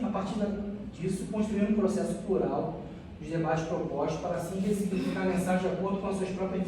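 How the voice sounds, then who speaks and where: A Brazilian man giving an academic research presentation as a student, haltingly, often repeating and restarting phrases. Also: high voice. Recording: distant mic, very echoey room, faint noise.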